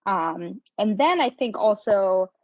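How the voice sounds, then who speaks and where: A woman's voice: mid-range voice, animated, slow pace, dry recording, muffled recording. A woman giving reflective, conversational answers as a podcast guest, often adding "you know". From born in Pakistan, grew up in several countries. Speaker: podcast guest, marketing professional.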